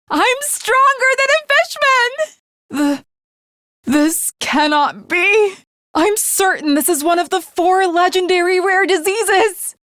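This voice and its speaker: Bloodthirsty, honor-bound young samurai girl, anime game. Archaic formal speech, unhurried delivery, very dry close recording. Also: very high voice, fairly bright tone, no room sound, exaggerated voice. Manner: archaic, formal, theatrical diction. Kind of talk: anime video game voice acting.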